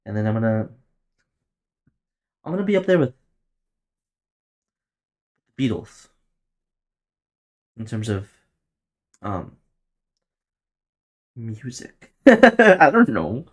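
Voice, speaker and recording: A young man near twenty hosts his own podcast alone, rambling through his plans in a loose, profane, offhand way. His voice sits in an ordinary middle pitch, and he often laughs at his own jokes. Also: very animated, extremely slow, long pauses. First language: Tagalog. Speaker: podcast host. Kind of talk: casual solo podcast monologue.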